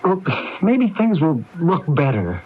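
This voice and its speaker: A man's voice: on the high side, wildly swooping pitch, slow pace, breathy edge, heavily acted. Dull hissy old radio play, a jittery pleading guy, stammers, voice jumps all over. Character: nervous, pleading art dealer mixed up with mob. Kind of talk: radio mystery drama acting.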